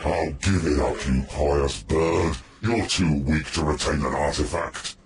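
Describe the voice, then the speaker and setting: An actor playing a brutish, impatient war god in a video game, threatening and blunt. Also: male voice, rumbling low, a little slow, a little hiss or hum. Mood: contemptuous. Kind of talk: video game cutscene villain acting.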